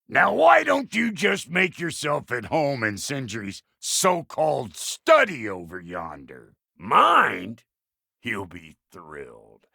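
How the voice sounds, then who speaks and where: Gruff dwarf smith, very gravelly rasp, slow folksy drawling delivery, foul-mouthed swagger, video game character, close dry recording. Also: male voice, fairly high pitch, pushed and bright, no room sound, full character voice. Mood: gruff, grumbling. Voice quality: gravelly, raspy. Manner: folksy rustic slang, colorful insults, swaggering.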